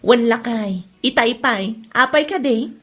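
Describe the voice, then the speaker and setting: Woman playing a high-voiced village gossip. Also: measured pace, music in the background, natural voice. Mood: snide, defensive. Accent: Ilocano Filipino. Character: gossipy, critical village woman giving unwanted suggestions. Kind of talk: Ilocano audio comedy drama acting.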